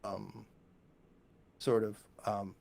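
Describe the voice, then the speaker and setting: Animated-talking American man, casual music chat. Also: lowish voice, very slow, creaky. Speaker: guest musician and university lecturer.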